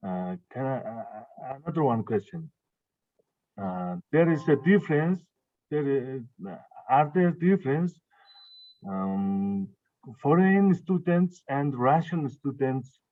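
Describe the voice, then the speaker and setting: A man's voice: mid-range voice, no room sound. A man asking questions at a student conference, speaking hesitantly with non-native phrasing and many fillers. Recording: dry tinny phone-like line.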